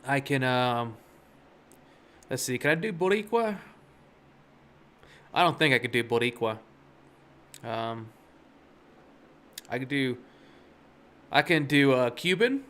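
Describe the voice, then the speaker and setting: Young guy from miami rambling on his trading stream, lots of ums and side stories, mid pitch voice. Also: animated, slow pace, pauses often, fairly bright tone. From Miami, USA. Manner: rambling and chatty, full of fillers and asides. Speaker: day trading livestream host. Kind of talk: casual chat on a live stream.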